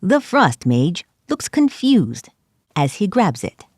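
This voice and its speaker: A woman's voice: fairly low pitch, sing-song, slow, darkish tone, slightly rough, no echo, clean studio sound. A woman narrating a tabletop fantasy adventure as game master, in dramatic scripted storytelling style. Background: music.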